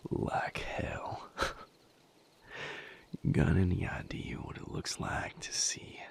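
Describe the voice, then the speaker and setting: A man playing a wry, protective healer who fights vampires in an intimate audio roleplay, speaking haltingly in a breathy, gravelly low voice. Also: very animated, whispered, full character voice. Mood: amused, admiring.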